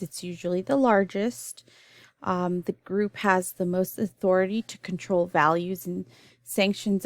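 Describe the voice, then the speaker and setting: A woman's voice: medium pitch, a little mellow, no echo. Woman giving a recorded nursing lecture. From El Salvador, raised in the US. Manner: explanatory, with frequent ums and restarts. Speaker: nursing instructor.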